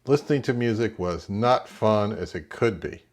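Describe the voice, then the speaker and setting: A middle-aged man narrating a hi-fi vlog in a conversational, measured, explanatory way. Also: fairly low pitch, expressive, reverberant. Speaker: hi-fi YouTuber. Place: indoors in a home room.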